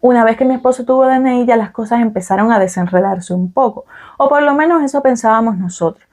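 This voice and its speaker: A woman's voice: a little high, fast, darkish tone. A young adult woman from Cuba with a Cuban Spanish accent, talking casually to camera in a vlog, explaining with fillers and "ok?" check-ins. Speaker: vlogger.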